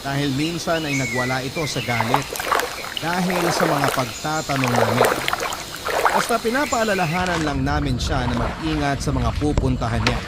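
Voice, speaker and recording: Man reading a sentimental story over music. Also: medium pitch. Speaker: radio storyteller reading a letter. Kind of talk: scripted first-person dramatic narration.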